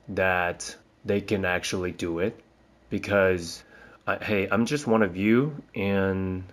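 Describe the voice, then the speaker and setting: Man, from Taiwan, young adult, teacher, low-ish voice, slow, hesitant, rambling. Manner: hesitant, rambling, frequent fillers and restarts. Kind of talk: casual spoken reflection.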